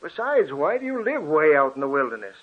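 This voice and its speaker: An older doctor, avuncular, husky, fairly high voice, thin tinny radio sound. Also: male voice, big pitch swings, brisk. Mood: genial. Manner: avuncular and reassuring. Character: genial older male family doctor. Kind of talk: 1940s radio drama acting. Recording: tinny, narrow old radio recording.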